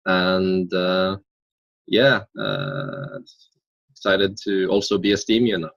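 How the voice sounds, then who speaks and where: A man's voice: sits low, big pitch swings, slow pace, creaky, dry recording. A young man in his twenties from Norway, answering interview questions in an earnest, thoughtful way with frequent hesitations. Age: 20s. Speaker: interview guest.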